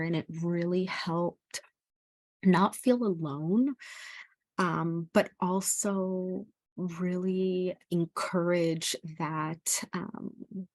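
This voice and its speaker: Slow-paced woman presenter, fairly low voice. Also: darkish tone, dry recording. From El Salvador. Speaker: parent presenter. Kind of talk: informal educational presentation with personal experience.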